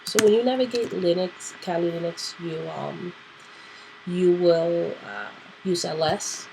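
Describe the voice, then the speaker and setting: A woman hosting a casual computer tutorial, nasal and clearing her throat because she is sick, thinking aloud with fillers. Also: fairly low pitch, animated, measured pace, a little mellow, slight background noise, rough recording. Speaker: tutorial host. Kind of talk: casual screen-recorded tutorial.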